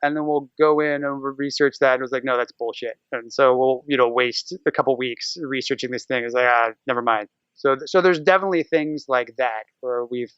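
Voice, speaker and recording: A man's voice: medium pitch, fairly even intonation, a little fast, fairly bright tone, clean tone, dry recording, lo-fi sound. An American man chatting casually as a podcast guest, rambling and acting out his own thoughts as he goes. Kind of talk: casual podcast interview chat.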